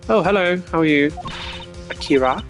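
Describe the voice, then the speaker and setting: A man's voice: on the high side, moderate pace, fairly bright tone. A man streaming a video game and chatting casually as he goes. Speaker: streamer voicing game characters. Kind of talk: casual livestream chat. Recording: game music behind the voice. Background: video game music.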